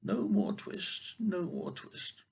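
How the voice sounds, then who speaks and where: A man's voice: high-pitched, lively intonation, slow, creaky, darkish tone, breathy edge, pitched above the performer's own voice, exaggerated voice. An older man voicing tiny, cheeky tailor mice in a storybook, chanting in a sing-song way.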